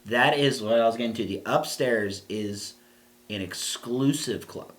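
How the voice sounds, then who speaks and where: A man's voice: mid-range voice, a little slow. A man in his thirties co-hosting a casual podcast chat, rambling and restarting his sentences with a lot of energy. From southwestern Pennsylvania. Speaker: podcast co-host.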